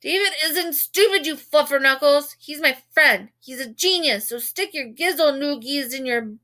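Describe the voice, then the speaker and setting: Woman playing an exploding, furious schoolboy, high-pitched. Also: moderate pace. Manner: explosive yelling. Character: outraged, loyal middle-school boy spouting made-up curses.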